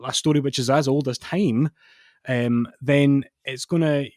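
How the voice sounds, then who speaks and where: A man in his late twenties co-hosting a wrestling podcast, chatting casually in a halting, reflective way as he explains things. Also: medium pitch, fairly even intonation, a little mellow, no room sound, clean studio sound. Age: late 20s. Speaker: podcast co-host.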